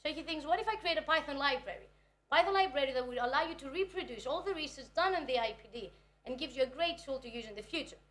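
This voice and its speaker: A woman's voice: sits high, lively intonation, quick pace, fairly bright tone. Chatty young Greek woman giving a talk. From Greece. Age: 20s. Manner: nervous, chatty, self-deprecating. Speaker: conference speaker. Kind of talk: technical conference talk.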